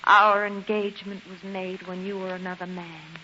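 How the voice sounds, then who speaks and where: A woman's voice: medium pitch, steady tone, moderate pace, sharp tone, close to an everyday voice. A woman playing a sorrowful, resolute young maiden in a 1940s radio drama, earnest and mournful. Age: young adult. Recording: dull hissy 1940s radio recording.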